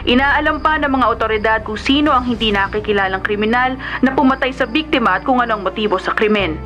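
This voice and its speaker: An actress with a Filipino accent playing a formal radio newsreader in a Tagalog radio drama. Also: female voice, fairly high pitch, fast, lightly acted. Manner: formal, factual. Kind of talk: scripted news reading in radio drama. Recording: music behind the voice. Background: music.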